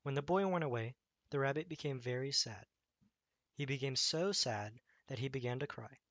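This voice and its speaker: A man's voice: mid-range voice, a bit bright, no room sound. Man narrating a bedtime story, close dry sound.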